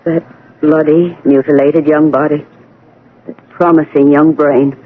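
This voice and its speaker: A woman's voice: low-pitched, little pitch movement, a little slow. An actress playing a devoted, anxious female nurse in a radio drama, speaking in an earnest, tender way. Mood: worried. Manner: earnest, pleading delivery. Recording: muffled old radio broadcast, faint noise.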